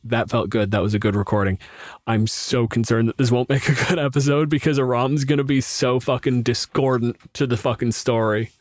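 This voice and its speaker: Male game master for casual podcast talk. Dry, close sound, mid-range pitch, blunt, teasing and foul-mouthed. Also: dry recording.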